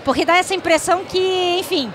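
A woman's voice: very high voice, fairly quick, a crowd in the background, background noise. A woman in a documentary interview, speaking upbeat about an opening night. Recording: clear music behind.

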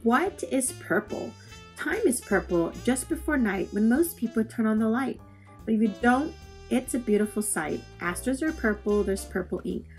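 A woman's voice: on the high side, lively intonation, normal speed, darkish tone. Woman reading children's poetry over guitar music. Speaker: read-aloud host. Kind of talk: expressive poetry read-aloud for children. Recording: music playing under the voice. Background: plucked guitar music.

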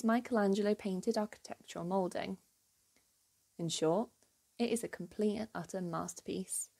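A woman narrates a history lesson in a clear, measured way. Her voice is mellow and warm. She tells the story with quiet enthusiasm and moderate pitch. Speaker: history channel host. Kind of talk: scripted educational narration.

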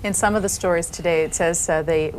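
A woman hosting a televised call-in interview, asking questions in a deliberate, clear way. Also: fairly low pitch, fairly even intonation, background noise. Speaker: call-in show host.

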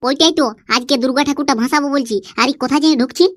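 A performer with a sped-up, pitched-up voice playing a bossy, mischievous village cartoon character with a Purulia accent, mocking and scolding in dialect. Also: fairly even intonation, rapid-fire, no echo, clean studio sound. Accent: Purulia, West Bengal.

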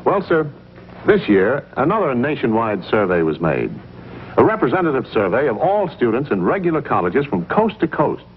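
A middle-aged American man delivering a 1950s commercial sales pitch as an announcer. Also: big pitch swings, normal speed, slight background noise. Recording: tinny, muffled 1950s broadcast soundtrack.

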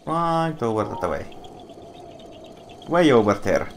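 Slow-talking man with a fading voice. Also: mid-range voice, very animated, background noise. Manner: slow, musing, thinking aloud. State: losing his voice, coughing. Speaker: gameplay streamer. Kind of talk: casual let's-play commentary.

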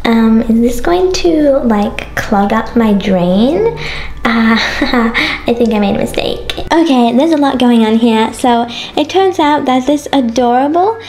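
A woman's voice: fairly high pitch, animated, slow pace, darkish tone. A woman, congested from a cold, chatting bubbly and self-mockingly through a toy unboxing vlog. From Australia. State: stuffy nose from a cold. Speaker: toy reviewer. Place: indoors at a tabletop toy set.

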